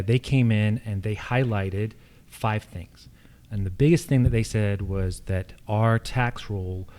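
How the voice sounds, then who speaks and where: Low, very mellow American man. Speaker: political candidate, incumbent county official. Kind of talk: unscripted forum answers.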